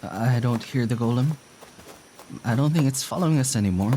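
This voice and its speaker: A weary, gentle traveler who keeps the peace, acted by a man, with a middling male pitch. He is reasonable and reassuring, a little hesitant, in naturalistic audio drama style over faint outdoor ambience. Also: normal speed, a little hiss or hum, lightly acted, poor audio.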